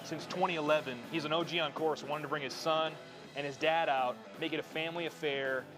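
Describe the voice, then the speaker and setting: An upbeat man with a fairly high voice runs the show with jokes and quick laughs. Faint music plays under him. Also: steady tone, low-quality recording. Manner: upbeat, joking, laughs often. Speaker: co-host. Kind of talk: hyped-up show hosting.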